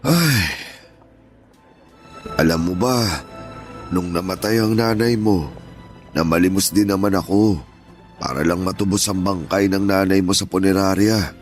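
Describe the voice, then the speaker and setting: A man's voice: low voice, a little slow, long pauses, soft background music. An aging, earnest father. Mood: sorrowful. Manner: pleading, earnest, scolding turning gentle. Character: aging father, stern yet tender, grieving. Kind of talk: Tagalog radio drama acting.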